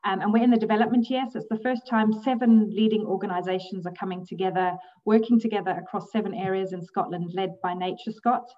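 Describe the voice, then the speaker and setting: A woman's voice: mid-range voice, fairly even intonation, quick pace, hardly any pauses, far from the mic, highs cut off. A woman, a guest in a casual interview, talking hesitantly with many ums and easy laughs. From grew up in South Africa. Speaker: guest, bat conservationist.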